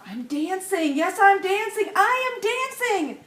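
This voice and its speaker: A woman's voice: very high voice, big pitch swings, a little slow, fairly bright tone, pitched above the performer's own voice, heavily acted. A woman voicing a clumsy, self-doubting young male giraffe from a storybook in an earnest, emotional way. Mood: elated. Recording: echoey room, distant mic, noisy background.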